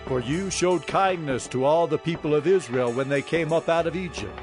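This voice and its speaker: A man's voice: on the high side, normal speed, lightly acted. Man acting a stern, commanding king, music behind. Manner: solemn, emphatic, declamatory. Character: stern, commanding warrior king of ancient Israel. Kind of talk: dramatized audio Bible acting. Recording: dramatic music under the voice.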